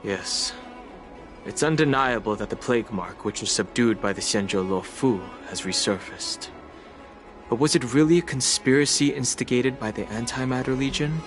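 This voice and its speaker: An actor playing a grave, weary, analytical man in an anime game, measured, serious and suspicious. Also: medium pitch, soft background music, close to an everyday voice. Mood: grave, pensive.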